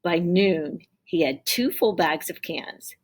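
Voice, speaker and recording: A woman teacher reading a children's story aloud in an expressive storytelling style. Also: on the low side, slow pace.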